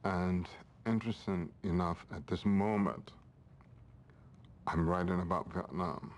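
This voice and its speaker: An older man speaking in measured, formal phrases in a reflective interview. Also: low voice, steady tone, lo-fi sound. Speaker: writer and Vietnam war veteran.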